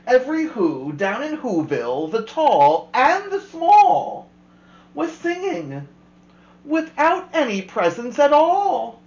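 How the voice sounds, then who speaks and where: A woman host reading a children's rhyming picture book aloud with expressive, rhythmic delivery. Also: sits high, slow pace, reverberant, lo-fi sound. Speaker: storyteller host.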